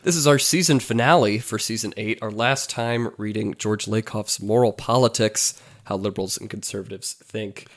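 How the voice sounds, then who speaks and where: A man's voice: lowish voice, normal speed, hardly any pauses. A man co-hosting a podcast in a casual book discussion, sounding congested from a cold. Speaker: podcast co-host.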